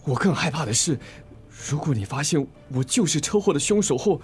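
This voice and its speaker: A man's voice: on the high side, animated, fairly quick, soft background music. An actor playing an anxious, guilt-ridden man in love, earnest and emotional in a radio drama. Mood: guilty, earnest. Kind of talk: Chinese radio drama acting.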